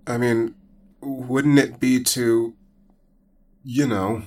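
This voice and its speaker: A man's voice: medium pitch, little pitch movement, extremely slow, a little mellow. A man playing an anxious, rule-abiding young adventurer with a fretful manner in an audio drama. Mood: concerned. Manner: fretful, exasperated.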